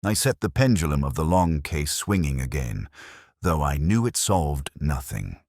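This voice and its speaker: A man playing a melancholy older detective inspector, narrating case notes in a measured, reflective, literary way. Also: rumbling low, very animated, a little mellow, no echo, studio quality, heavily acted. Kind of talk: scripted first-person literary narration.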